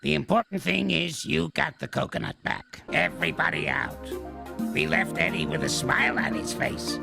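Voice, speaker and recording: Crabby old cartoon ape, crackly and squawky, jumps all over the place, loud music under him. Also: male voice, on the high side, wildly swooping pitch, moderate pace, heavily acted. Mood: weary. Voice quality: gravelly, creaky old voice. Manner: grouchy, barking and scolding. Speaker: voice actor. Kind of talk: animated series voice acting. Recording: clip with loud music and sound effects behind.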